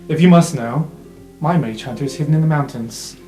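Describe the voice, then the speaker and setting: A man voicing a knowing, wry informant in an improvised fantasy roleplay podcast, explaining in measured phrases with theatrical pauses. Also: on the high side, natural voice. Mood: explanatory, wry. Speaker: game master. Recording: roomy sound with guitar music underneath.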